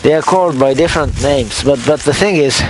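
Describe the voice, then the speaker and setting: A man's voice: medium pitch, a little fast, background noise. A man with a Japanese accent, a native Japanese speaker, rambling and correcting himself with little chuckles as he narrates his outdoor work. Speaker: vlogger. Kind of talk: casual narration while working outdoors. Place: hilltop clearing outdoors in cold weather.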